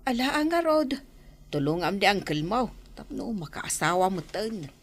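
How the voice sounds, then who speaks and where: An actress playing a middle-aged, meddling matchmaker aunt in a radio drama, chatty and opinionated. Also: female voice, mid-range voice, big pitch swings, a little slow. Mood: urging. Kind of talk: radio drama acting in Ilocano.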